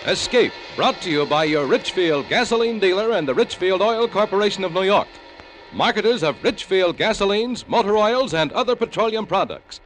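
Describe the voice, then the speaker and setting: A man's voice: high-pitched, expressive, moderate pace, a bit bright. An American man announcing in old-time radio promotional style, formal and emphatic, over orchestral music. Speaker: program and sponsor announcer. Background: orchestral music with timpani.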